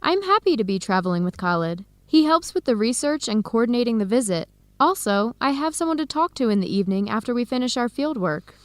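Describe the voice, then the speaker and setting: Woman acting young American female researcher, radio drama, American accent, friendly, very animated intonation, dry studio sound. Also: fairly high pitch, fairly quick, few pauses, no room sound, natural voice. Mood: warm, chatty. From United States. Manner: lively, expressive.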